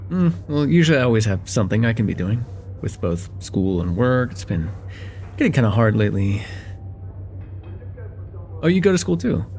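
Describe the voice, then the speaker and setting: A man playing a friendly young college guy, lively pitch swings. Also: moderate pace, long pauses, a little hiss or hum. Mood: curious, playful. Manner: casual, hesitant, teasing, with soft chuckles and hums. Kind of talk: slice-of-life ASMR roleplay, one-sided conversation with the listener.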